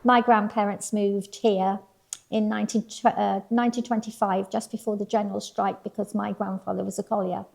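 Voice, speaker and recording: A woman's voice: medium pitch, little pitch movement, normal speed, gravelly, darkish tone. An older woman from Weston-super-Mare chatting about her family's history, with some laughs and restarts. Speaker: local resident recalling family history. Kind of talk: casual personal reflection.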